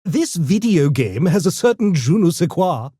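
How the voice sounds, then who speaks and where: A man's voice: a little high, big pitch swings, moderate pace, warm tone, slightly rough, no room sound, clean studio sound. A man voicing an urbane, mischievous gremlin for a video game, with posh, pompous, theatrical and mock-polite diction. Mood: smug, taunting. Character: urbane genteel mischievous gremlin, a genetically engineered intellectual creature. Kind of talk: video game character voice lines.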